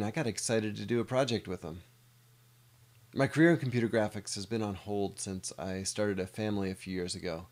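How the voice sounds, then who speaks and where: A middle-aged American man, an animator, giving a scripted presentation of a project. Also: fairly low pitch.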